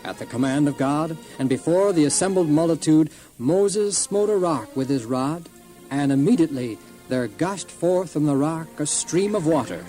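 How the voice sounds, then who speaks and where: A male narrator reads a scripted Bible story with formal solemnity. His voice sits a little above average for a man, and music plays clearly behind him. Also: fairly high pitch, expressive, moderate pace, warm tone. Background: music under the voice.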